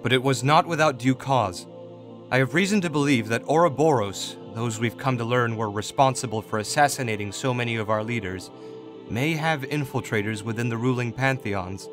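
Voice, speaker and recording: A man's voice: medium pitch, moderate pace, over music, close to an everyday voice. A man playing a weary, diplomatic god in an audio drama, speaking in a restrained, formal and apologetic manner. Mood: grave.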